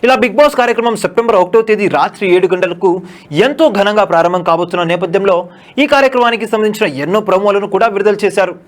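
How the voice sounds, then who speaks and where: Rapid-fire, high-pitched male news narrator. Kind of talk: scripted news voice-over narration.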